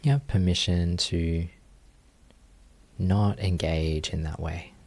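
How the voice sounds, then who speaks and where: A man leading a guided meditation as a teacher, speaking in a gentle, unhurried manner with long pauses. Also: sits low, fairly even intonation.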